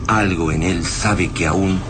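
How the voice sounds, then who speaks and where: An actor with an Argentine accent narrating a radio drama war epic in a grave, literary manner. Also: male voice, steady tone, slow pace. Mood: grave, somber. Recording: noisy rumbling battle ambience behind the voice.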